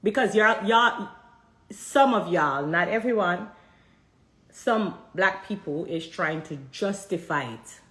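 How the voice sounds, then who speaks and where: A woman with a Jamaican accent speaks directly to her audience, hammering home her point with repeated rhetorical questions. Her voice sits at an ordinary middle pitch. The room around her rings with noticeable echo. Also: animated, takes it slowly, fairly bright tone. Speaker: vlogger addressing viewers. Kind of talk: direct-to-camera commentary.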